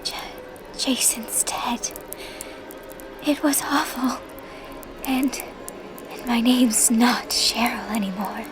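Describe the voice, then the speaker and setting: An actress playing a traumatised teenage girl survivor in a breathy whisper, in a radio drama. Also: on the high side, slow pace, long pauses, poor audio. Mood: shaken, hollow.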